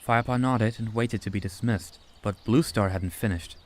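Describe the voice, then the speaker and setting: Male audiobook narrator, low register, scripted storytelling delivery, faint nature ambience behind the voice. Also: moderate pace, a little hiss or hum.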